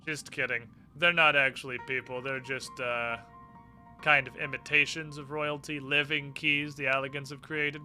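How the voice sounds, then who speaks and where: A man's voice: mid-range voice, flat delivery, normal speed, bright, forceful tone, faint music behind. Smug, flippant ex-villain, voiced by a male streamer. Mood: breezy explaining. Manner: casual, mocking paraphrase of game dialogue. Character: smug, flippant male ex-military villain turned uneasy ally.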